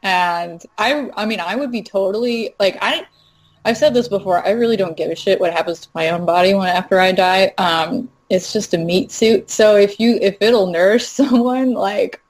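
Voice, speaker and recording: A woman's voice: mid-range voice, normal speed, rough recording. A woman chatting casually, frank and matter-of-fact with a dry sense of humour. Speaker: podcast guest. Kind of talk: casual chat.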